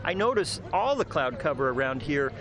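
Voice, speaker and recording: A man's voice: a little high, big pitch swings, moderate pace. A man reporting the weather live on location outdoors, chatting loosely with many fillers. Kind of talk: live on-location news chat. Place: outdoors downtown by a river. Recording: outdoor street with a loud crowd behind.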